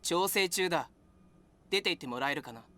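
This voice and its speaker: An actor playing a calm, aloof and coolly polite mysterious man in a Japanese visual novel game. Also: medium pitch, racing. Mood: cool, detached.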